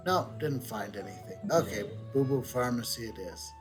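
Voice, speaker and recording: A man's voice: mid-range voice, animated, moderate pace. A male streamer chatting casually and thinking aloud while he plays a game. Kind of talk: gameplay commentary. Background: game music.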